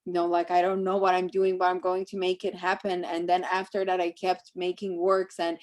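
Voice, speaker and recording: Low, even-voiced Iranian-born woman, reflective. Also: normal speed. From Iran. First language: Persian. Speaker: guest speaker, filmmaker. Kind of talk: reflective conversational talk about own work.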